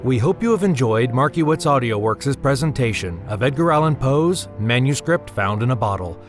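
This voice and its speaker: A man reading a short scripted closing announcement in a plain, measured way. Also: mid-range voice, lively intonation. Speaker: producer giving the closing credits. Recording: music bed under the voice. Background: music.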